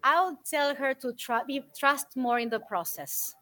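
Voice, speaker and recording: A woman in her forties from Argentina, guest on an interview show, telling stories in an animated, thoughtful way with many fillers. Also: high-pitched, a little slow. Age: 40s. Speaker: guest speaker. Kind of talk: interview answers, storytelling.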